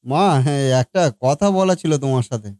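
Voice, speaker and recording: A man's voice: medium pitch, expressive, fairly quick, mellow, no room sound, studio quality, lightly acted. A man playing a coaxing, food-loving grown son in a comic cartoon. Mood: coaxing, playful.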